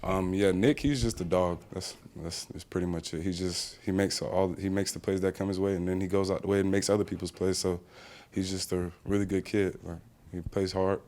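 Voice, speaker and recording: A man's voice: deep voice, level tone, a little fast, darkish tone. A young American man and college football player, answering press questions in a casual, plainspoken way with many fillers. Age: young adult.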